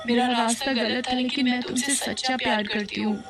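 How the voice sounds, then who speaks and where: A woman voicing sweet, reassuring members of a cartoon family in an earnest, expressive way. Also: fairly high pitch, a little fast, lightly acted. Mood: tender. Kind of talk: cartoon story acting. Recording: loud music behind the voice.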